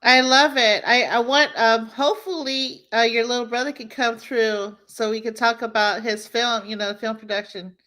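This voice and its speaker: A woman's voice: a little high, fairly even intonation, normal speed, hardly any pauses, pushed and bright. A woman hosting a podcast interview, encouraging her guest with frequent short affirmations. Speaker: podcast host.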